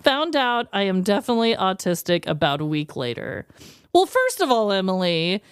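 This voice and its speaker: A woman co-hosting a podcast, chatting casually and reading listener stories in an expressive, gushing, slangy way. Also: medium pitch, normal speed, smooth, dry recording, studio quality. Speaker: podcast co-host.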